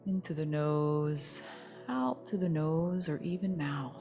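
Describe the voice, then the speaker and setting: A woman teaching yoga nidra, leading a guided relaxation meditation in a soothing, gentle, unhurried manner. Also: sits low, gravelly, faint music behind.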